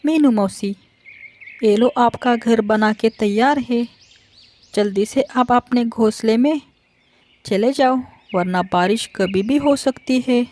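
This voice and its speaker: Woman playing a helpful cartoon bird, highish voice, gentle, earnest, unhurried. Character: kind, helpful young female sparrow.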